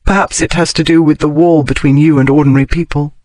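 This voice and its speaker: A man putting on a sharp-tongued period lady. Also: fairly even intonation, fast, no room sound, natural voice. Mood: brisk. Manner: crisp, formal period speech rising in pitch. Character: blunt, logical Victorian-era lady, wry and emotional. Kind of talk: audiobook character reading.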